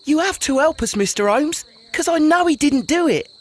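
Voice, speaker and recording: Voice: high voice, expressive, moderate pace. A performer playing an anxious, pleading Victorian London street urchin boy with an English accent in a video game. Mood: worried, pleading. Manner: urgent, informal.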